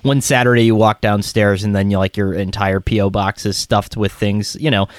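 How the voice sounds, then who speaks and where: A man's voice: lowish voice, steady tone, normal speed, dry recording, clean studio sound. A man co-hosting a sports podcast, talking loosely and rambling through his thoughts. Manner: rambling, many fillers and restarts. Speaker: podcast co-host. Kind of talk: casual sports podcast chat.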